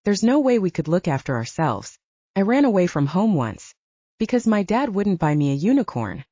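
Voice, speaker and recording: A woman's voice: fairly low pitch, sing-song, moderate pace, warm tone, no echo, highs cut off. A woman voicing a wounded, self-reliant human ranger in an audiobook, confiding and candid. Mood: bitter, confiding. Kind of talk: audiobook character dialogue.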